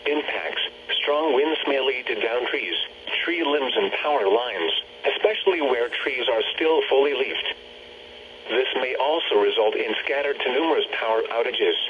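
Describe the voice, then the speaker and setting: Dull, noisy radio weather announcer, male. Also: medium pitch. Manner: formal, measured delivery. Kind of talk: scripted weather warning bulletin. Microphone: camera held near radio speaker.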